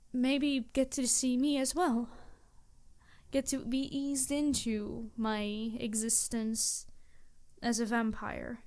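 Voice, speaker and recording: A woman's voice: high voice, darkish tone, smooth. A woman voicing an embarrassed, centuries-old vampire in an ASMR roleplay, speaking formally and hesitantly, earnest and apologetic to the listener.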